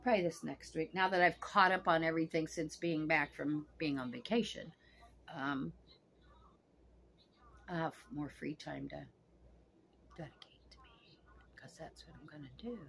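A woman's voice: low voice, moderate pace, creaky, pauses often, fairly bright tone, a little hiss or hum. Blunt foul-mouthed woman in her sixties. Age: 60s. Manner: blunt, frank and profane, talks straight to camera. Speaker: vlogger. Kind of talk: casual vlog monologue. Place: small home room, seated on a couch.

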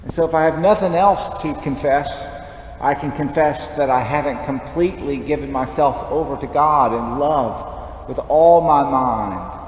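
A man's voice: on the high side, a little slow. Man preaching in an echoey church. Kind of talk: homily, conversational preaching. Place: large church, at the lectern. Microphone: headset mic. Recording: echoey church with long reverb, background noise.

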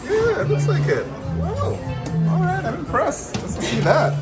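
Man, casual brunch chatter, animated, busy restaurant with loud music and crowd. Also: extremely high pitch, a little slow. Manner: chatty, exclaiming over food. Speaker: brunch guest filming. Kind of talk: casual chat.

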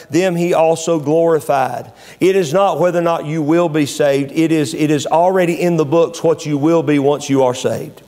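A middle-aged man preaching a sermon from a pulpit in a small church, emphatic, repeating words to press a point and reading scripture in a measured cadence. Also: on the high side, darkish tone. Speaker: pastor.

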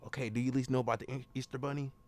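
A young man making an improvised prank phone call as the caller, rambling insistently and repeating himself in a gravelly voice. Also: mid-range voice, little pitch movement, racing, darkish tone, slight background noise.

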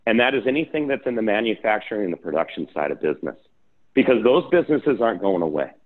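A man with a low voice, speaking on a video call. His audio comes through thin and phone-like. He talks casually and often restarts his sentences. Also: big pitch swings, fast. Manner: conversational, restarts mid-sentence. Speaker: real estate investor and developer guest. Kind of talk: panel discussion on a video call.

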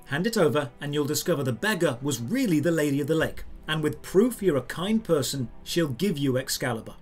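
A man reading a scripted step-by-step game tutorial in a clear, instructional way. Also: a little high, animated, fairly quick. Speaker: video guide narrator. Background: background music.

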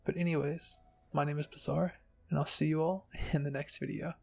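A man with a slightly high, creaky voice reads a story in a soft ASMR style. His delivery is even and husky, with light music behind him. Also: brisk. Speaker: narrator and channel host. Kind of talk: ASMR fan fiction reading.

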